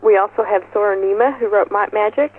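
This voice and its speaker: A woman's voice: medium pitch, a little fast. A woman speaking as an event organizer in an informal interview, explaining details in an upbeat, enthusiastic way over a muffled telephone line. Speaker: festival organizer being interviewed.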